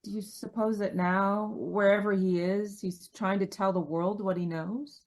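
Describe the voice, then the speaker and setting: A bereaved young woman, played by an actress, wonders sadly in old radio drama style. Her voice is of average pitch, troubled and wistful. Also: steady tone, a little slow, a little mellow, close to an everyday voice.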